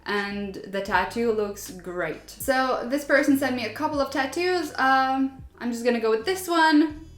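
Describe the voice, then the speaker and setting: A woman's voice: high voice, unhurried, roomy sound. A young woman who is not a native English speaker chats casually while reading out viewer stories, relating to them and laughing often. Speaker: vlogger.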